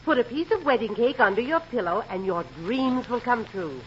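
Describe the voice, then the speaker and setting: A fairly high-voiced, prim aunt played by a woman speaks in a measured, practical way in a 1940s radio comedy. The old recording is muffled, with light noise. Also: lively intonation, close to an everyday voice. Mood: dry, skeptical.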